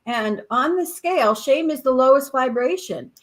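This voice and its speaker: A woman co-hosting a casual live stream, talking reflectively and haltingly, with restarts and fillers. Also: high-pitched, animated.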